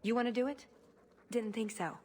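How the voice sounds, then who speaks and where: A brash, eager huntress from a fantasy game voiced by a woman. She has a fairly high voice and talks bluntly and playfully, over faint noise. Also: moderate pace, close to an everyday voice. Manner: blunt, cheeky.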